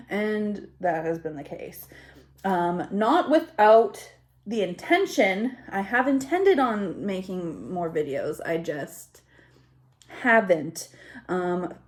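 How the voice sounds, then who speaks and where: Slow-paced congested woman, rambling vlogger. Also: medium pitch. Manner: self-deprecating, scattered, conversational asides. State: slightly congested. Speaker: YouTube vlogger. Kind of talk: casual rambling chat to camera. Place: home kitchen, indoors.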